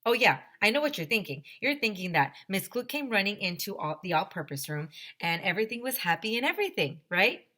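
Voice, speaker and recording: A woman teacher reading a children's storybook aloud, animated and emphatic, speaking directly to her young listeners. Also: medium pitch, brisk, hardly any pauses.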